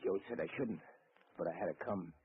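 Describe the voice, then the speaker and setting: An actor playing a simple-minded young killer in a 1940s radio drama, who talks in a slow, childlike way with rough grammar. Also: male voice, low voice, a little hiss or hum, close to an everyday voice. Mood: brooding. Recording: tinny old radio broadcast with music.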